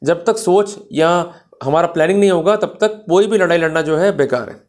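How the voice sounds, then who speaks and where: An Indian man with a slightly high voice delivers an explanatory law lecture. He is didactic, posing questions to his listeners and answering them himself. Legal terms in English are mixed into his speech, and he checks that people are following. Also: fairly quick, a little mellow.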